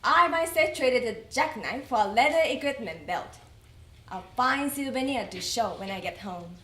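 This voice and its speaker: A woman performing in readers theater, reciting a letter earnestly and deliberately, with an occasional stumble. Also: a little high, expressive, a bit bright. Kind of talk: dramatic group recitation of a letter. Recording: roomy echo, faint background noise.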